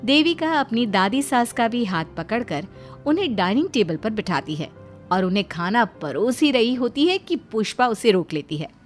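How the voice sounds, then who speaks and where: A woman's voice: a little high, very animated, normal speed, faint music behind. A woman reading a scripted moral story as its narrator.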